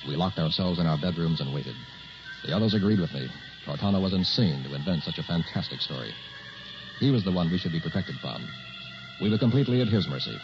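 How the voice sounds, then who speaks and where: A man acting a young man who narrates an eerie tale in a 1960s radio drama, deliberate and suspenseful. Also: deep voice, animated, music in the background. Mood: tense recounting. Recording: muffled old radio recording.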